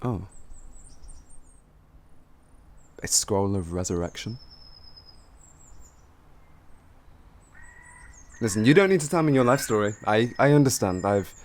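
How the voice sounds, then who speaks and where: A man's voice: fairly low pitch, darkish tone, slight background noise, natural voice. A man playing a cocky, flirty, streetwise bandit in an improvised fantasy roleplay, with teasing, theatrical delivery. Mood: subdued, guarded. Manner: teasing, theatrical, drawn-out phrasing with chuckles.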